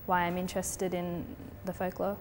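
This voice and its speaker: Low-voiced woman in a reverberant gallery. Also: normal speed. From Colombia. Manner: thoughtful, steady, with frequent fillers. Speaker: artist. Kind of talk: explaining her artwork in an interview. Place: gallery space. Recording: long reverb with faint background noise.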